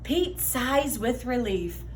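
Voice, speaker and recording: Woman storyteller, high lively pitch, unhurried, animated picture-book reading for kids, some background noise. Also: reverberant. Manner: animated, deliberate delivery for young listeners. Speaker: read-aloud storyteller for children. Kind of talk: expressive children's picture book read-aloud.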